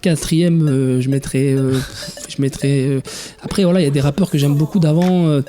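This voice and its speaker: A man's voice: fairly high pitch, fairly even intonation, moderate pace, mellow, soft background music. A young man in his twenties, a DJ, talking casually in an interview, hesitant with many restarts and fillers. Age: 20s. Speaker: DJ guest.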